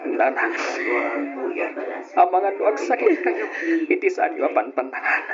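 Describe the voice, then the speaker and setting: Earnest, high-pitched sorrowful Ilocano son. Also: male voice, big pitch swings, normal speed, a loud crowd. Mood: concerned. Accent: Filipino, Ilocano. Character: sorrowful, steady grown son consoling his mother. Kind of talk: old radio drama acting. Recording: muffled old radio drama, music behind.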